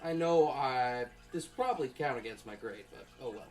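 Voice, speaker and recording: A young adult college student giving an informal class presentation in a conversational, rambling and halting way, as if thinking aloud. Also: medium pitch, lively intonation, darkish tone.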